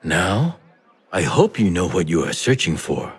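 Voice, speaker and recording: An actor playing a sly, theatrical merchant and smuggler with a teasing, playful manner in a video game. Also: male voice, low voice, big pitch swings, unhurried, husky, full character voice. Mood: grave, wistful. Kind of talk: video game cutscene acting. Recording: music behind the voice.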